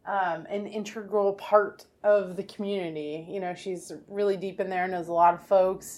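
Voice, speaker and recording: A woman's voice: fairly low pitch, darkish tone, a little hiss or hum. A woman co-hosting a birding podcast in casual chat, laughing often and teasing her co-host.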